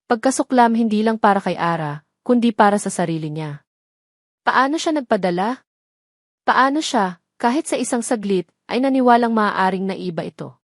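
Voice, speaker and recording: A woman's voice: mid-range voice, lively intonation, moderate pace, dry recording, clean studio sound. A woman narrating a dramatic romance story from a script.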